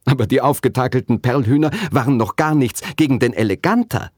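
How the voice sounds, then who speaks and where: Male reader as schoolgirl, cheeky, earnest, excitable, raised pitch, slightly husky, children's storybook. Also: fairly quick, no room sound, clean studio sound, lightly acted. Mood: excited telling. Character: schoolgirl telling tall tales, earnest and cheeky.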